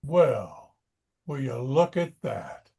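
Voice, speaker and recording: A man's voice: medium pitch, sing-song, slow, vocal fry, dark tone, breathy edge, full character voice. A man acting a sceptical audience member who demands answers in a scripted scene. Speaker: actor in a screenplay table read.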